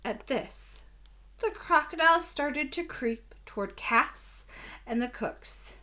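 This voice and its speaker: A woman teacher reading a children's story aloud, expressive and deliberate, prompting young listeners with questions. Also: high-pitched.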